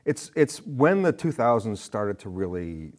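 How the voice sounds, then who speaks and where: A middle-aged man chatting casually on a film show in a rambling, halting way, often interrupting himself. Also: on the low side, very animated, darkish tone. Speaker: film discussion show panelist. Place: indoor studio with curtains, seated.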